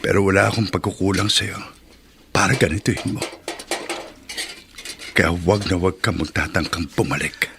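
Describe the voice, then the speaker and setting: A man's voice: low-pitched, normal speed, creaky, pauses often, background noise. An actor with a Filipino accent playing a bitter, proud abandoned husband and father in a melodramatic radio drama, intense and emphatic. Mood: bitter resolve.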